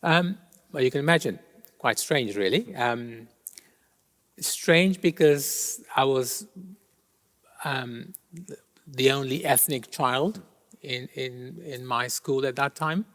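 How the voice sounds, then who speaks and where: Middle-aged man, raised in Kenya, Gujarati first language, pausing interview guest, mid pitch, echoing church hall. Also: fairly bright tone. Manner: thoughtful, with many ums and pauses. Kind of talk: interview answers. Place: large church. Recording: long reverb of a large church hall.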